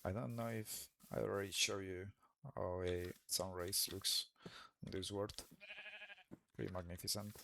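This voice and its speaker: A man from Colombia with a Colombian Spanish accent, Spanish as his first language, giving relaxed gameplay commentary while hesitating and searching for words. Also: low-pitched, measured pace, creaky, a little hiss or hum. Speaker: let's play creator.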